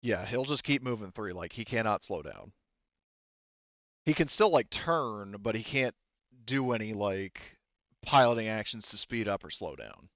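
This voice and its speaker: A man acting as game master of a tabletop roleplaying game, explaining rules and often pausing to think aloud. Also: on the low side, animated, a bit bright, no echo, dull audio.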